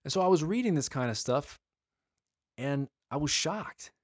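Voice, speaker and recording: A man giving an earnest sermon-style teaching, asking his listeners pleading rhetorical questions and slowing down to stress key words. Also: fairly high pitch, animated, no room sound.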